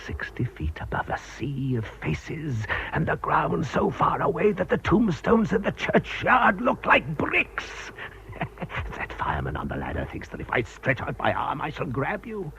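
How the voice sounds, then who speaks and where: Radio drama villain: ruthless impostor, menacing and taunting, highly animated pitch, muffled recording. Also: male voice, measured pace, slight background noise, heavily acted. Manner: taunting, theatrical, intense. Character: ruthless desperate man hiding a false identity, menacing.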